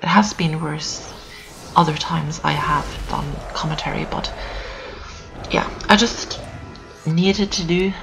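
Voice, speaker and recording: A teenage girl doing casual gameplay commentary, hoarse from just waking up, chatty and repetitive with lots of fillers. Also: deep voice, slow pace, long pauses, over music, rough recording. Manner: chatty, repeats herself, lots of "um" and "so". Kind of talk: casual let's-play commentary. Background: builders' noise outside.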